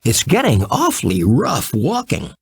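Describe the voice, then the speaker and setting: A man's voice: mid-range voice, wildly swooping pitch, a little slow, breathy edge, full character voice. A man voicing an earnest, polite little girl in a children's storybook, exclaiming and sincere. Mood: concerned.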